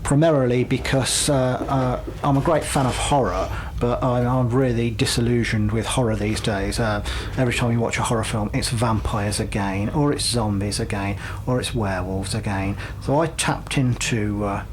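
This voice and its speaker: A man from the UK co-hosting a radio show, talking casually and haltingly, often repeating words and restarting. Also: mid-range voice, steady tone, a little hiss or hum. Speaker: radio co-host; cryptozoologist and horror writer. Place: radio studio.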